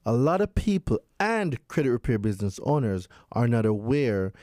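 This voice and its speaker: Plainspoken guy explaining stuff, mellow dark voice, dry sound. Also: mid-range voice, very animated, normal speed, no echo. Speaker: guest credit expert. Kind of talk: casual explaining.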